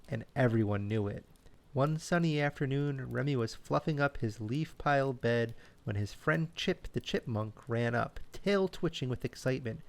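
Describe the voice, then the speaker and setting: Gravelly man reading a lively bedtime story. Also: mid-range voice. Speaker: storyteller and host. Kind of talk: scripted bedtime story narration for children.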